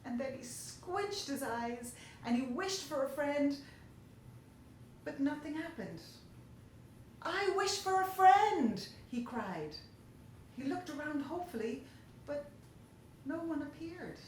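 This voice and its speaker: Slow, expressive woman storyteller in echoey room. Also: high voice. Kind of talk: expressive children's story narration. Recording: very echoey room, faint hiss.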